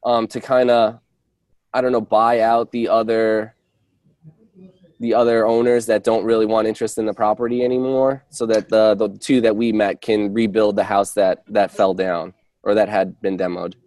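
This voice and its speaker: A man working as a real estate agent, giving an informal update on a work call in a hesitant, halting way with false starts. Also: on the low side, little pitch movement, darkish tone, no echo. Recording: dry conference call audio.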